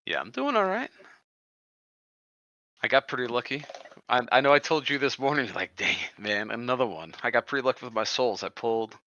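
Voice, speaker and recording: Animated, bright man on dull voice chat. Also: medium pitch, fairly quick, slight background noise. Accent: American-sounding. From Netherlands. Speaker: livestream co-host. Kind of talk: casual banter.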